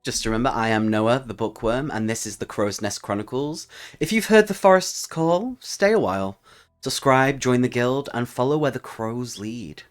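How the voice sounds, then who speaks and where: A man's voice: mid-range voice, normal speed, soft background music. A man hosting a solo tabletop roleplaying channel, speaking as a storyteller to his listeners. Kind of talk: storytelling narration and casual channel talk.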